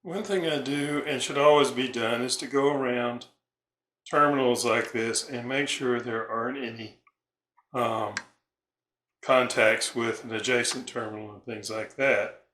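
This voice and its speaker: A man giving a casual hands-on repair demonstration, thinking aloud in a halting way as he works. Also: medium pitch, steady tone, a bit bright. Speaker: radio repair hobbyist and narrator. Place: indoors at a repair bench. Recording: roomy echo, background noise, small clicks.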